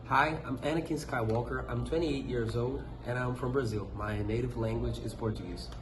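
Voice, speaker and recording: A young man with a mid-range voice reads his interview answers with small hesitations. He sounds roomy and echoey, with clear background noise. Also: mid-range voice, normal speed. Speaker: role-play interviewee. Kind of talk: scripted school role-play. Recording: echoey room with noticeable noise.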